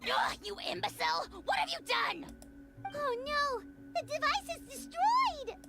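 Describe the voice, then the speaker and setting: A tiny, haughty dragon companion from a video game. The voice is piercingly high and squeaky, leaping around in pitch. It is bossy and quick to insult, over loud music. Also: female voice, big pitch swings, heavily acted. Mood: exasperated. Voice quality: shrill, squeaky.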